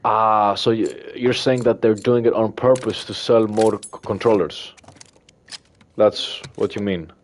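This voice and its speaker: A man's voice: lowish voice, unhurried, slight background noise. A man from Greece with a Greek accent, chatting casually with his livestream viewers while he plays. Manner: relaxed, hesitant, with fillers and soft laughs. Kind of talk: casual livestream chat reading viewer messages.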